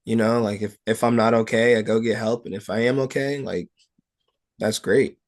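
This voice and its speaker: A young adult man, a wrestler being interviewed, talking casually and personally in a halting way, peppered with "like" and "you know". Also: lowish voice, fairly even intonation.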